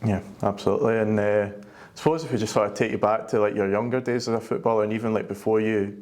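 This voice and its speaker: A man's voice: fairly low pitch, steady tone, fast talker, a little mellow. A man with a Scottish accent, putting questions as a sports writer in a casual interview.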